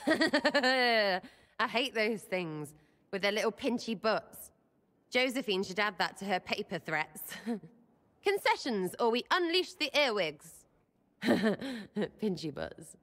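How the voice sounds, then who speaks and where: A woman's voice: medium pitch, sing-song, slow, a bit bright, big-room sound. A woman playing a brash, irreverent young elf rebel in a video game, with an English accent and blunt, slangy, cheeky delivery. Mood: amused, mischievous. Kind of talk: video game cutscene acting.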